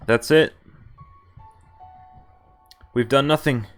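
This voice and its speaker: A male game streamer talks over game music at a middling pitch. He reads on-screen text and drifts into casual commentary, halting and thinking out loud as he goes. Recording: music under the voice.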